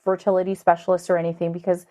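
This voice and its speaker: A woman's voice: fairly low pitch, monotone, very fast, warm tone. A woman hosting a podcast, asking interview questions and affirming her guest. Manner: encouraging, affirming. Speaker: podcast host.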